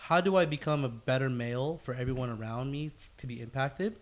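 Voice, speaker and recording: A man's voice: medium pitch, fairly quick. A man hosting a casual podcast discussion, speaking in an earnest, motivational way with emphatic phrasing. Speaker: podcast host.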